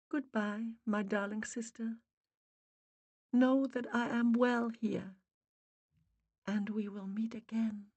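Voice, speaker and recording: A woman's voice: on the high side, darkish tone, pitched above the performer's own voice. A woman voicing the loving ghost of a mother with a Boston accent, faint and muffled, in an audiobook.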